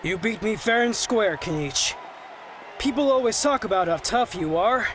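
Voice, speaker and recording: A man's voice: high voice, lively intonation, normal speed, noisy background. A man playing a good-natured, humble young warrior in an anime game, with an earnest, easygoing delivery. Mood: gracious.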